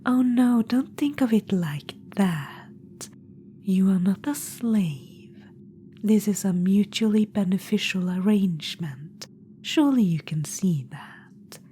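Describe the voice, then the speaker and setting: A woman's voice: mid-range voice, lively intonation, takes it slowly, dark tone. A woman voicing an imperious undead witch-queen spirit in an ASMR audio roleplay. She speaks in a whisper, teasing, condescending and commanding. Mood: coaxing whisper. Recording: clear background noise.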